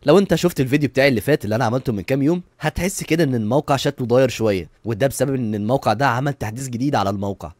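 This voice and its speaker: An Egyptian man hosts a casual tutorial. He talks extremely quickly in a medium pitch, with enthusiastic emphasis. The recording is close and dry. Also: darkish tone, dry recording. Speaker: YouTube tutorial host. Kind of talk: casual explanatory tutorial voice-over.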